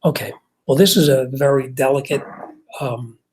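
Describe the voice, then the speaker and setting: A man's voice: mid-range voice, steady tone, moderate pace, darkish tone, breathy edge, poor audio. A middle-aged man with a New Jersey accent, a mechanic hosting a casual livestream. He answers viewers' car questions in a conversational way, with lots of fillers and restarts. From Jersey City, New Jersey. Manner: conversational, reads chat comments aloud, frequent fillers and restarts.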